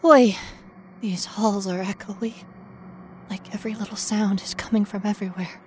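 A woman's voice: medium pitch, fairly even intonation, moderate pace, darkish tone, slight background noise, close to an everyday voice. A woman playing a frightened, wry young ghost researcher in an audio horror monologue, rambling nervously to herself. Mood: nervous, rattled. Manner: rambling nervous self-talk, sardonic, often dropping to whispers.

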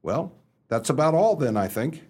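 An actor playing a flustered upper-class young English gentleman in a radio play, hesitant and bumbling, narrating his own scrapes in old-fashioned slang. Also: fairly high pitch, very animated, moderate pace, husky, full character voice. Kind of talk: radio play storytelling and dialogue.

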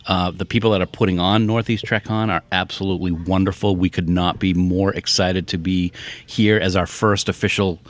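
A man co-hosting a podcast, chatting casually and getting excited and laughing easily. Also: low voice. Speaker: podcast co-host. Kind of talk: casual chat. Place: hotel room at a convention.

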